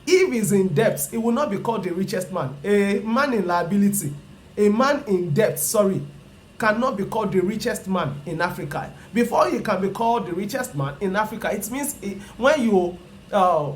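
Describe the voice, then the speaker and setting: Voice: medium pitch, animated, normal speed, slight background noise. A Yoruba-speaking teacher from Nigeria, with a Nigerian accent, gives an explanatory personal finance lecture. The speaker repeats each point emphatically and keeps asking the listener rhetorical questions.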